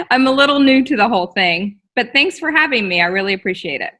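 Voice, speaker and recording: A woman's voice: fairly high pitch, lively intonation, brisk, sharp tone. A woman in her forties from Texas, chatting as a guest on a video call in a friendly way and laughing often, with filler ums. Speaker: guest, store owner. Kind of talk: casual interview.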